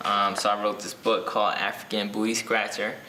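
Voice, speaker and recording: A young man from Ethiopia, an author answering interview questions casually, pausing often with ums and restarts. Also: on the low side, flat delivery, bright, forceful tone, echoey room, a little hiss or hum. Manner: hesitant, many ums and restarts.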